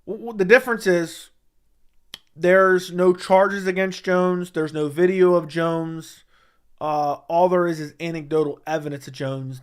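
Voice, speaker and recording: A man hosting a casual mixed martial arts livestream, answering viewer chat in a blunt, opinionated way and repeating his points for emphasis. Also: on the high side. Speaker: MMA commentary livestream host.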